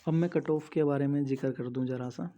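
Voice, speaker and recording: Dull lo-fi recording, flat-toned man. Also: mid-range voice, a little fast. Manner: methodical, listing point by point. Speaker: exam coaching teacher. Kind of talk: instructional study-strategy talk. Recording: dull lo-fi sound.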